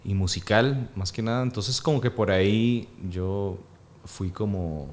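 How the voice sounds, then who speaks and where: A man in his thirties with a Costa Rican accent answers interview questions in a slightly low voice. He thinks aloud as he talks, pausing often and reaching for his words. His tone stays calm and reflective. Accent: Costa Rican Spanish. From Peru. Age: 30s. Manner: thoughtful, hesitant, many fillers. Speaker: actor and guest. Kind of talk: casual interview.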